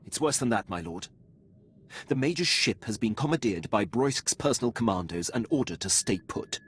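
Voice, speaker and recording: Stiff, low-voiced Imperial military officer. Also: male voice, expressive, normal speed, breathy edge. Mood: grave, concerned. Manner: formal, precise, clipped military diction. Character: stiff, dutiful male Imperial military officer, formal and loyal. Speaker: starship captain serving a lord. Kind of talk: video game voice acting.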